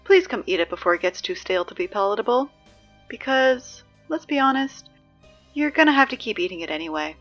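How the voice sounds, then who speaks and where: A woman playing a chatty, exasperated high school morning announcer in a scripted audio drama, conversational and wry with hesitant asides. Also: expressive, fairly quick, fairly bright tone, dull audio, soft background music.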